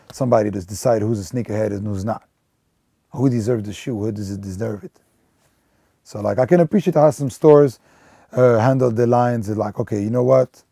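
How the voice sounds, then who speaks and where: A man's voice: fairly low pitch, gravelly, dark tone, slightly rough, no echo, studio quality. A man in his thirties from Belgium, a sneaker store manager, talking casually in a rambling way with lots of fillers and restarts. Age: 30s. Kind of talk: casual documentary interview.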